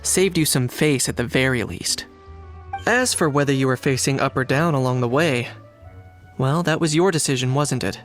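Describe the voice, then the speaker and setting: An actor playing a cool, shrewd young man in a video game, speaking in a measured, sardonic way. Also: mid-range voice, a bit bright, faint music behind, natural voice. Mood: dry sarcasm. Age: young adult.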